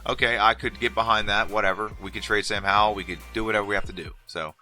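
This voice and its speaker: A man's voice: fairly low pitch, steady tone, quick pace, bright, forceful tone, soft background music, low-quality recording. A man talking casually to his viewers as a sports vlogger, sounding nasal from a head cold, rambling and restarting his sentences. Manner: loose, rambling, repeats words and restarts. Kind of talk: casual opinion monologue to camera.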